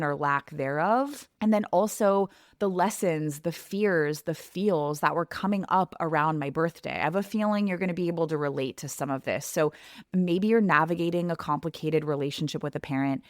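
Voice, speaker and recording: A woman in her thirties with a deep, low speaking voice shares a heartfelt podcast monologue. She speaks earnestly and reflectively, straight to her listener. The sound is close and dry, with no room around it. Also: no room sound. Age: 30s. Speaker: podcast host and coach.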